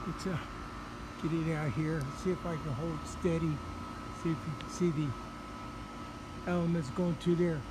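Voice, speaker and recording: A man giving a casual, unscripted show-and-tell update outdoors, speaking haltingly and repeating words, recorded on a handheld camera. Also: a little high, fairly even intonation, heavy background noise. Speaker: hobbyist video presenter. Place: outdoors in a residential yard. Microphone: handheld camera microphone.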